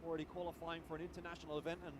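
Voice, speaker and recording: A man's voice: a little high, flat delivery, rapid-fire, noisy background. A man giving live esports commentary with conversational analysis.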